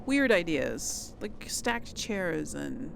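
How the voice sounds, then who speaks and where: A woman's voice: lowish voice, big pitch swings, extremely slow, gravelly, a little hiss or hum. A woman giving casual gameplay commentary as a let's play host, musing aloud to herself. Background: video game music.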